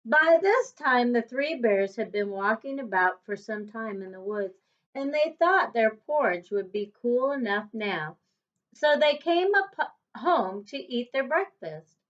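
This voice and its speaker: Slow, high-voiced woman reading a storybook. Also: animated. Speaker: teacher reading aloud. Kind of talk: children's storybook read-aloud. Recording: dull, lo-fi recording.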